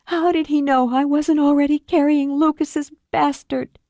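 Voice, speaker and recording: A weeping young mother pleads in a high, cracking voice, put on by a woman narrator. The tone stays mellow and soft-edged. The sound is close and dry, with no room around it. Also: fairly even intonation, normal speed, no echo. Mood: anguished. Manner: breathless, pleading, voice breaking high. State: tearful.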